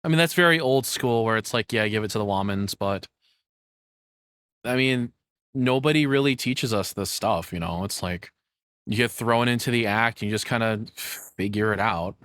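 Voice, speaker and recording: A man with a lowish voice reacts in casual, unscripted commentary. He talks informally, like a streamer, and the sound is very dry with no room echo. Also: moderate pace, a bit bright. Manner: informal, freely swearing.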